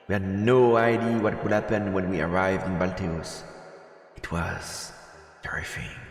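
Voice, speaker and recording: A man's voice: low voice, creaky. A man reads visual novel narration aloud dramatically as a streamer. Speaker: streamer narrating a let's play. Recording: roomy, echoing voice with long reverb. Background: game music.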